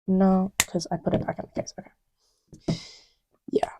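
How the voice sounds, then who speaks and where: A woman playing a playful, distracted young girlfriend in an intimate ASMR roleplay, murmuring in a halting, teasing way. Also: mid-range voice, flat delivery, mellow, natural voice. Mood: absorbed, casual. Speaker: audio roleplay voice actor.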